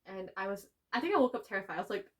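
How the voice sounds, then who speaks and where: A young woman co-hosting a podcast, telling stories in animated casual chat. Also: medium pitch, very fast. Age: young adult. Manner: animated storytelling with frequent laughs. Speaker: podcast co-host.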